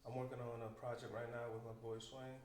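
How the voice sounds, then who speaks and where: A young man from the Dominican Republic chats about making beats in a relaxed, matter-of-fact tone. His voice is flat and even in pitch. It sounds roomy and a little far from the mic, with faint noise underneath. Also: quick pace. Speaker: music producer guest. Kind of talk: casual podcast chat.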